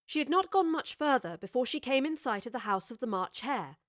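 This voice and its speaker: A woman narrating a children's classic in a measured, clear storytelling manner, recorded on a studio microphone. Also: sits high, expressive, dry recording.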